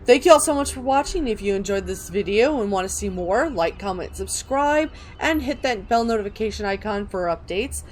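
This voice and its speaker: A woman's voice: medium pitch, animated, fairly quick, few pauses, a little mellow, a little hiss or hum. A woman hosting a gaming video, reading in-game texts aloud and commenting casually as she plays.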